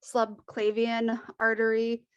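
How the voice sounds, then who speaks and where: A woman in her thirties from Washington State talks on a casual podcast. She explains things in a clear, articulate way. Her voice is close and dry. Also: on the high side, completely flat delivery, slow pace, dry recording. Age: 30s. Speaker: teacher, podcast guest.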